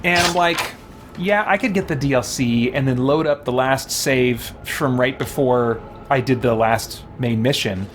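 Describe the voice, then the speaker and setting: A man's voice: medium pitch, a little slow, background noise. A man live-streaming a game, chatting casually and reading viewer comments, rambling as he thinks aloud. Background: game music.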